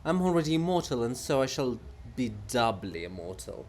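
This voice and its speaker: A man's voice: mid-range voice, sing-song, moderate pace, a little mellow, a little hiss or hum, voice pushed down, natural voice. A man voicing a vain, fanatical monument come alive in a dramatic stage farce, declaiming pompously and theatrically. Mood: martyred grandeur. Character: ranting, grandiose Bulgakov monument, vain and fanatical.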